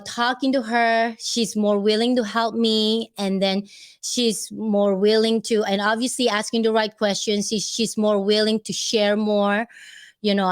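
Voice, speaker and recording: A woman with a Filipino accent talking casually to her viewers, rambling and stopping often to search for the right words. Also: mid-range voice. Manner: chatty, laughs easily. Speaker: vlogger giving advice. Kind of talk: casual talk to camera.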